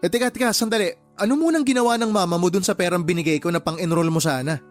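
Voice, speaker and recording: A man plays a protective uncle in a radio drama, emphatic and concerned. He is generous yet quick to exasperation, with light music playing underneath. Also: sits high, lightly acted. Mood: startled, questioning.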